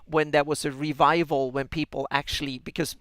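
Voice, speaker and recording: A man's voice: on the high side, little pitch movement, brisk, fairly bright tone, dry recording. A man with a Swedish accent in casual podcast chat, often repeating words and restarting. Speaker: podcast co-host.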